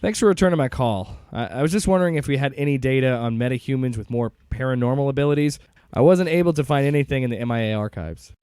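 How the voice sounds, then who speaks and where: A man's voice: mid-range voice, fast talker, a little mellow, clean tone, no echo, natural voice. A man playing a blunt, exasperated intelligence agency director in conversational audio drama acting. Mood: earnest, weary.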